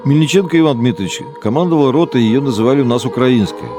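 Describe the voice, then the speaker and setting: A man's voice: mid-range voice, expressive, fast, lightly acted. A man playing a former collaborator giving testimony in a radio drama, in a plain, grumbling way. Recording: radio play with music underneath.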